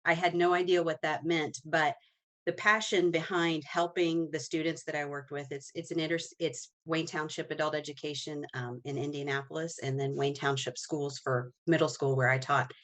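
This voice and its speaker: A woman's voice: low-pitched, little pitch movement, normal speed, a bit bright. A woman in her forties, a teacher and agency co-owner, answering as a guest in an earnest, heartfelt way. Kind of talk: casual interview answers.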